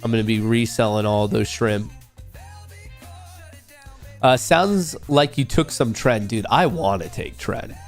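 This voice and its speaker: A young man hosting a loose, joking livestream, talking straight to his chat. His voice has a gravelly, fry-tinged edge and a slightly nasal sound from a cold he's getting over. Soft music plays faintly behind him. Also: fairly low pitch, measured pace, pauses often. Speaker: livestream host.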